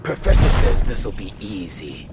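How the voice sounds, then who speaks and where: A man's voice: fairly low pitch, sing-song, a little slow. A man voicing a cocky young superhero with fiery powers in a video game, brash and wisecracking. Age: young adult. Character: cocky young male superhero who wields nuclear fire. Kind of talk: video game character dialogue. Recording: game audio with music behind.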